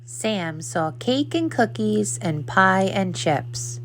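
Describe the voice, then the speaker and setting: A woman reading a children's story aloud in a simple, deliberate way for young listeners. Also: medium pitch, expressive, a little hiss or hum, rough recording. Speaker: storybook reader. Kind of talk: children's read-aloud narration.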